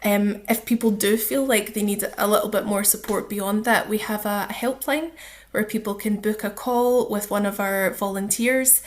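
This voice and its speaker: A woman's voice: medium pitch, steady tone, normal speed. A woman speaking as a charity officer and interview guest, explaining things in an earnest, conversational way.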